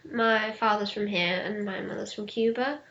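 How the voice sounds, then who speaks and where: Bright, curious woman, lo-fi sound. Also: medium pitch, steady tone, moderate pace, a little hiss or hum. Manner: curious, tentative. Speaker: podcast participant. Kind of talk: casual group discussion. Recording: dull lo-fi recording.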